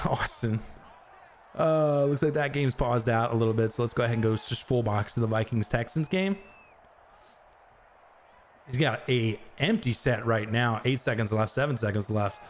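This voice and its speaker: A man doing live play-by-play commentary on a video game stream, getting loud on big plays and chatting with viewers between them. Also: moderate pace, long pauses, a little hiss or hum. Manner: excitable, jumps to high shouts on big plays.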